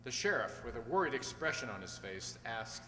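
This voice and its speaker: A man's voice: medium pitch, sing-song, fairly quick, sharp tone. An older man reading scripted narration for an old-time radio drama on a stage. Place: stage in a hall. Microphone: stand microphone. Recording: echoey hall, long reverb.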